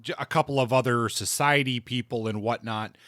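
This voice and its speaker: A man hosting a casual book discussion podcast, speaking deliberately and repeating phrases for emphasis. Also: mid-range voice, fairly even intonation, fairly bright tone, dry recording, studio quality. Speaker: podcast host.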